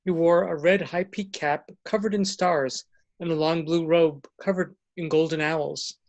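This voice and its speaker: Higher-pitched man narrating a tale. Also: fairly even intonation, measured pace, dull audio. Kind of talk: storybook narration.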